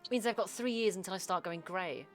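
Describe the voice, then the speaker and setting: A woman's voice: medium pitch, fast talker, faint music behind. A woman trading dry, teasing banter in character as a detective during a roleplay.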